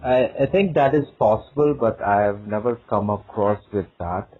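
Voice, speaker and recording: A lowish-voiced man speaks over a thin, narrowband phone connection. He answers like a job candidate, hesitating and repeating short words as he explains himself. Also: moderate pace. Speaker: job candidate in a technical interview. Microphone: phone call. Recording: narrowband tinny phone line.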